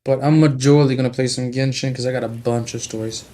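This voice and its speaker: A man's voice: medium pitch, steady tone, fast, mellow. A man chatting casually as a live game streamer, muttering and swearing now and then, with an uneven mic level. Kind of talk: casual gaming livestream chat.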